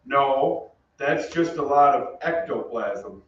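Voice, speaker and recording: A man's voice: medium pitch, takes it slowly, fairly bright tone. A man playing a brash, good-natured American soldier with an American accent, slangy and breezy, in an old-time radio drama. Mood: breezy, firm. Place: on stage in a theatre. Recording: distant, echoey, muffled stage, faint noise.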